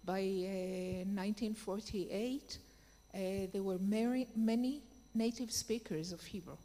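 A woman answering as a panel speaker, measured and pausing often with fillers. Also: mid-range voice, warm tone. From Israel. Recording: reverberant hall.

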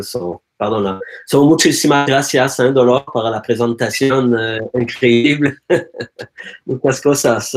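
A man whose first language is French, giving an online guest lecture in hesitant speech with many fillers and stray English and French words. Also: mid-range voice. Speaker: guest speaker. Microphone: video call.

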